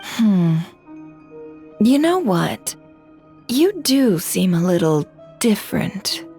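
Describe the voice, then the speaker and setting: An actress voicing a sly, unhurried female information dealer who drawls and teases. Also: medium pitch, big pitch swings, a little mellow, soft background music. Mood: teasing. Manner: drawling, teasing. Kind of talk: video game dub acting.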